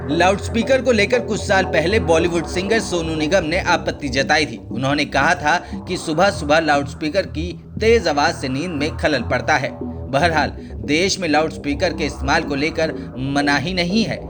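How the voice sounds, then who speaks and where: A man narrates a scripted news explainer with a formal, expository delivery. His voice sits slightly higher than most male voices. Music plays clearly behind him throughout. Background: background music.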